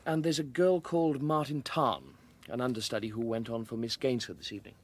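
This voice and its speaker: A man's voice: medium pitch, fairly even intonation, brisk, close to an everyday voice. An actor playing a methodical, deferential police assistant in a radio drama, precise in his wording. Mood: dutiful, precise. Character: dutiful, methodical police assistant reading out details.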